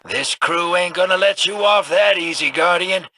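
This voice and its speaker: A man's voice: sits high, moderate pace, pushed and bright. An actor playing a cocky, roguish male space scavenger in a video game, drawling with a teasing manner. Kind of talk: video game character dialogue.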